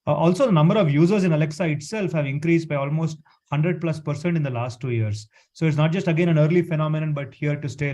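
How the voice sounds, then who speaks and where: A man, his voice sitting a little high for a man. He talks through points casually on a webinar panel and keeps checking in with a quick "right?". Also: a little mellow. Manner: conversational, frequent "right?" tag questions.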